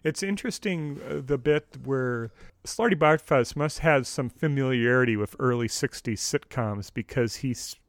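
A middle-aged man co-hosting a podcast, casually discussing radio drama in a measured, detailed way. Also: mid-range voice, no echo, studio quality. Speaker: podcast co-host.

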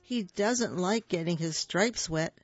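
A woman's voice: fairly low pitch, takes it slowly, slightly rough, no echo. Lady reading a wide-eyed little boy, eager, always asking stuff, kinda muffled radio sound. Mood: excited.

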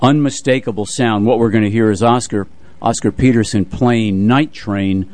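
An older man with a fairly low voice talks as a radio co-host. He recalls the old days in a measured, halting way, pausing as he remembers, and introduces music like a seasoned storyteller. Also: a little mellow. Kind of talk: reminiscing radio chat introducing jazz records.

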